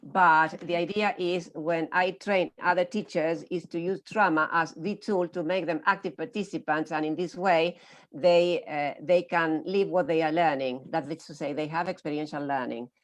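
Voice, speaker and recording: A woman's voice: sits low, fairly even intonation, normal speed. A woman from Argentina, a teacher trainer, talking in a friendly, hesitant way with many fillers on a video call. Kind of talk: online round-table discussion.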